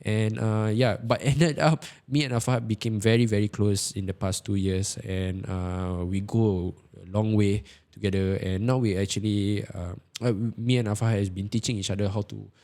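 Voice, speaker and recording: A man's voice: fairly low pitch, steady tone, normal speed, hardly any pauses, mellow. A man, co-hosting a podcast and talking in a casual, rambling way with frequent hesitations. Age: 20s. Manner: rambling, laughing, hesitant self-introduction. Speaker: podcast co-host. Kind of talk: casual chat.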